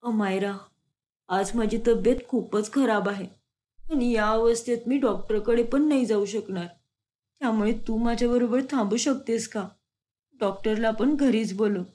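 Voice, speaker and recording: Cartoon role: watchful, sharp-witted young woman with a soft, sweet delivery; a woman voices her. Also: fairly high pitch, moderate pace, lightly acted. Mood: pleading.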